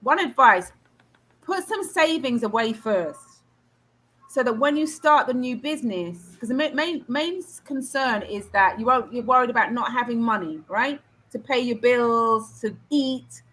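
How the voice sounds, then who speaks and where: A middle-aged Trinidadian woman coaching an online audience on careers. Her voice sits fairly high, and her manner is earnest and encouraging. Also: fairly bright tone, rough recording. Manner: encouraging, earnest advice-giving. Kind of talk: online Q&A session.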